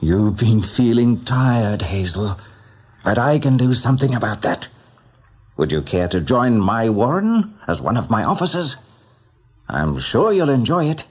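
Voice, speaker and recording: A man playing a calm, kindly, lordly rabbit spirit in a radio drama, unhurried and reassuring. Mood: calm, inviting. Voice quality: deep, husky.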